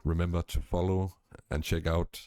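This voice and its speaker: A man in his early thirties hosting an interview, relaxed and laid-back, often ending phrases with "man". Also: low-pitched, moderate pace, no room sound, clean studio sound. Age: 30s. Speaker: podcast host. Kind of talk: casual interview chat.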